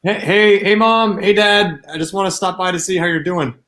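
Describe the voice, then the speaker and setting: A man playing a bewildered, uneasy grown son in an amateur staged play reading, halting and repeating his words. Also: sits high, fairly even intonation, bright, forceful tone. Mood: greeting, casual. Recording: echoey, roomy sound.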